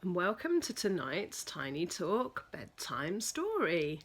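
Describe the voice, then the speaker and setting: A woman reading a children's picture book aloud as a storyteller, with deliberate, dramatic emphasis for young listeners. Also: fairly low pitch, wildly swooping pitch, breathy edge.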